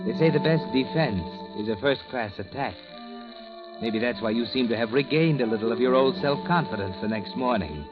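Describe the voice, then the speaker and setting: A man's voice: on the low side, close to an everyday voice. An actor playing a sinister narrator who mockingly addresses a guilty woman in second person, in a 1940s radio drama. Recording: dull old radio recording with music.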